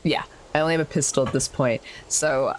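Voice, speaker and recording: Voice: medium pitch, fairly even intonation, brisk, slight background noise, rough recording. A tabletop role-playing player talking casually, thinking aloud through tactics.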